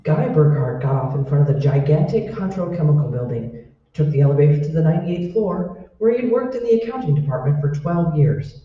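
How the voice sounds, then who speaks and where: A man's voice: a little high, lively intonation, fairly quick. A man narrating a scripted old-time radio drama. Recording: very echoey hall, distant mic, muffled.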